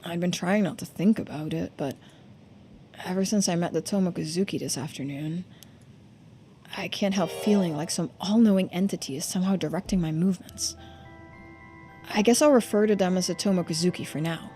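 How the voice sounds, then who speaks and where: Female reader as young male game protagonist: earnest, anxious, hesitant, conversational, over soft background music. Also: lowish voice, fairly quick, lightly acted. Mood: pensive. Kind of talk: video game dialogue read-aloud.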